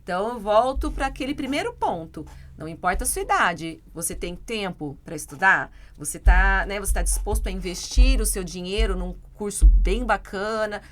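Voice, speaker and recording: A woman's voice: medium pitch, animated, moderate pace, few pauses, slight background noise. A middle-aged Brazilian woman, an English teacher co-hosting a podcast, talking earnestly in casual chat and answering listener questions directly. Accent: Brazilian Portuguese.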